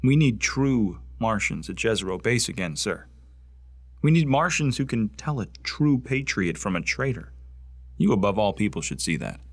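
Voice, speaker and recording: A man performing a young would-be space marine in a radio drama, bigoted and full of grievance. The voice is on the low side and very dry, close and free of room. He speaks with indignant intensity and weighty pauses. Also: very animated, no echo. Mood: proud, earnest. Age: young adult. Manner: intense, indignant, with heavy pauses and sudden outbursts.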